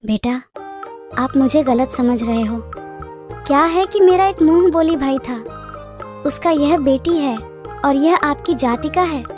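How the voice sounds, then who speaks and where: A woman voicing a cunning male fox uncle who flatters and wheedles in a children's storybook tale. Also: high-pitched, a little slow, pauses often, pitched above the performer's own voice. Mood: persuasive. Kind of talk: children's moral storybook character voice. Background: children's music.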